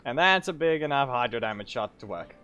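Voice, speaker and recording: A man streams a game and chats casually over it. His voice sits at a medium pitch, and game music plays clearly in the background. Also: lively intonation, fairly quick, pushed and bright. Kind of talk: casual gameplay commentary.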